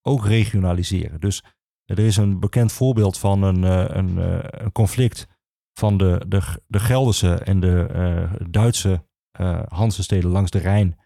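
A man the explains history informally as an expert guest, halting and repeating himself as he searches for words. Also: sits low, fairly even intonation, creaky, warm tone, dry recording, clean studio sound. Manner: hesitant, halting, with many pauses and repetitions. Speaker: archivist, podcast guest.

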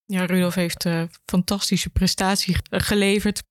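A woman's voice: on the low side, flat delivery, moderate pace, dry recording, clean studio sound. A woman talking informally as an education officer and guest in a podcast conversation.

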